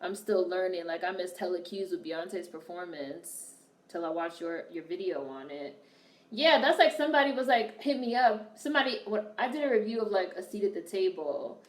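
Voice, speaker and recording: A woman's voice: fairly low pitch, lively intonation, normal speed. Candid woman streaming, distant and roomy. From United States. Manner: blunt, candid and profane, reacting to chat comments. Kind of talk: live watch-along stream, chatting with viewers. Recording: roomy sound, voice distant from the mic.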